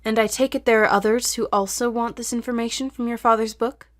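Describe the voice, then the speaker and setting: A woman acting a patient, probing therapist who speaks in a measured, formal way in an audio drama. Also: on the high side, steady tone, lightly acted. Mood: calm, coaxing.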